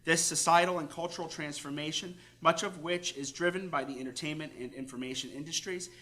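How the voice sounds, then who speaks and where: An American man, a priest, reading a formal paper aloud to a conference in a measured, emphatic, oratorical way. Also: on the high side, steady tone, bright, forceful tone. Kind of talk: formal read-aloud lecture.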